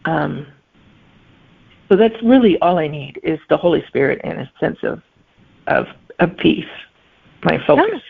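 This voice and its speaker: A middle-aged woman with a gravelly, creaky voice, talking as a guest author. She answers in an unhurried, thoughtful way and often pauses to find her words. The sound is thin and phone-like. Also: low-pitched, sing-song. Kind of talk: conversational interview answers. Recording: phone-like remote line.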